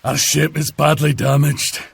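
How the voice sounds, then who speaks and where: A man's voice: on the high side, flat delivery, unhurried, creaky, husky, full character voice. A man playing a grave, resolute knight commander in a video game cutscene. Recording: music behind the voice.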